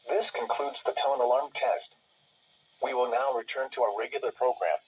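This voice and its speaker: A man's voice: mid-range voice, steady tone, normal speed. A man reading scripted weather bulletins and forecasts in formal, segmented phrasing over a radio broadcast. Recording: dull, noisy weather radio playback.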